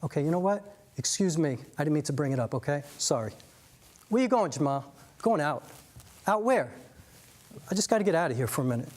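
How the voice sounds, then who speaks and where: Jamal: man playing a blunt, prying young guy, fairly high voice. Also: expressive, a little fast, pauses often, darkish tone, lightly acted. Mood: pushy. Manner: prying, impatient questions.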